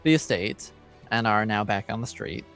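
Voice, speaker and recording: A man running a tabletop roleplaying game, narrating off the cuff and haltingly as he searches for words. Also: on the low side, gravelly, fairly bright tone, soft background music. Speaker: game master.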